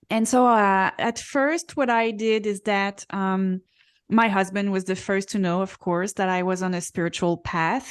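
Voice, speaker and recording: A woman in her forties, co-hosting a spiritual podcast, telling her own story slowly and reflectively, with frequent ums. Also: medium pitch, clean tone. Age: 40s. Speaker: podcast co-host, Akashic reader. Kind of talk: casual podcast chat.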